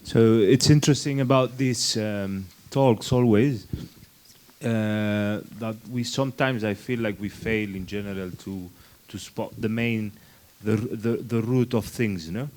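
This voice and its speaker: A man with a fairly low voice speaks in a group discussion. His delivery is halting, with frequent restarts and fillers as he works his way toward his point. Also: a little mellow. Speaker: festival workshop participant. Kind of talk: spontaneous discussion remarks.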